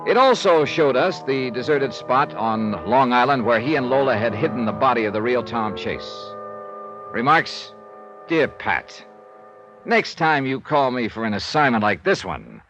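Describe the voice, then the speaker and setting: An actor playing a tough, wry insurance investigator in a 1950s radio detective drama, with clipped delivery. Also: male voice, mid-range voice, lively intonation, moderate pace, natural voice. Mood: wry, hard-boiled. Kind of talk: 1950s radio detective acting and first-person narration. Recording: dull vintage radio recording with music.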